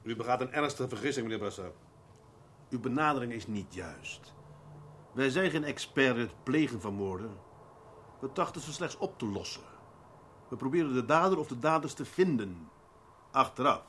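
An actor playing a seasoned, middle-aged police detective in a radio drama, measured and formally polite with a dry, ironic edge and a husky voice. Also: male voice, medium pitch, lively intonation, fairly bright tone, a little hiss or hum, natural voice. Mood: wry, probing. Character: seasoned senior police detective, patient, wry, probing. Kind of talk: Dutch radio drama acting.

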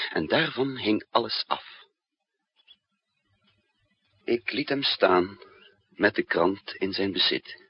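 An actor playing a weary, brooding male spy who narrates his own story with slow, deliberate drama in a 1960s radio play. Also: deep voice, husky, exaggerated voice. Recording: dull, echoey old radio recording.

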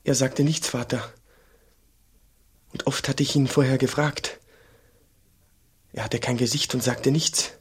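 An actor playing an obedient, devout monk in a German radio play, earnest and deferential, with a slightly husky voice. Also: male voice, medium pitch, steady tone, a little slow, pauses often, a little hiss or hum, close to an everyday voice. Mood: earnest, contrite. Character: humble, obedient young monk, devout and penitent.

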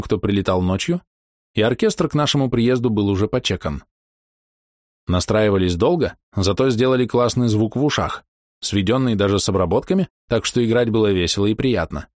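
Man, lowish voice, dry close sound, wry slangy first-person diary narration, touring musician. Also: darkish tone, no room sound. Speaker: touring musician telling his tour diary.

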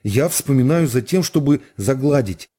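A man voicing a remorseful gentleman in a literary radio reading, speaking in an earnest, halting, pleading way. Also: medium pitch, animated, natural voice.

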